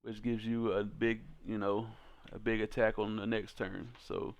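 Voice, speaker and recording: Clear-voiced man in casual game commentary. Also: fairly low pitch, flat delivery, a little slow. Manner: informal, slangy, addressing viewers as crew. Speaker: card game content creator and host.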